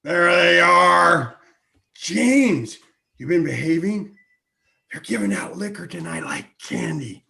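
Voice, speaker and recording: A man's voice: sits high, sing-song, slow pace, creaky, sharp tone, heavily acted. A man acting a folksy, jovial older relative of a bride in a comedy table read, chatty and hearty. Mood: jovial, needling.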